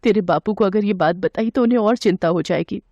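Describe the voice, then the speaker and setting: Woman doing tired anxious poor mom in a story, soft dark tone, bit muffled. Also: mid-range voice, fairly quick, no echo, close to an everyday voice. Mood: worried. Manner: anxious, downcast. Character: worried, weary poor mother of a grown daughter.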